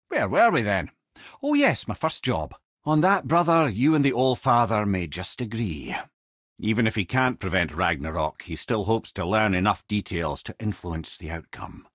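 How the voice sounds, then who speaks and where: A worldly old Scottish adviser from a fantasy video game. His voice is husky and sits at a middling pitch, recorded close and dry. He talks like a seasoned teller of myths, eloquent and wry, with a lively rise and fall. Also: no echo, exaggerated voice. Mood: wry, conversational. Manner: theatrical, eloquent storytelling with wry asides. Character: wry, worldly old male storyteller, talkative loyal adviser. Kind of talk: video game character dialogue and mythic storytelling.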